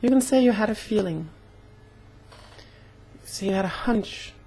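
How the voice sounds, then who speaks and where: Young woman vlogger, hoarse and creaky. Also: medium pitch, animated, measured pace, mellow, poor audio. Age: young adult. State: slightly hoarse. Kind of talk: casual personal vlog.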